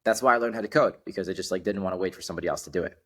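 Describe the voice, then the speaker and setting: A young man with a deep, low voice talks casually as a podcast guest. He tells stories with lively energy, often pausing on an "um" before pressing on. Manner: animated storyteller, frequent ums. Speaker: guest, startup founder.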